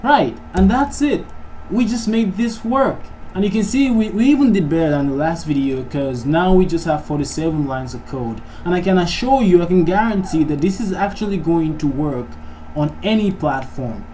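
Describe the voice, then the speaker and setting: A man's voice: a little high, expressive, normal speed, few pauses, mellow. Man teaching coding over noisy background. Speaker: programming tutorial host. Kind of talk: casual coding tutorial, thinking aloud. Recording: noisy mic with keyboard typing. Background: background noise, keyboard typing.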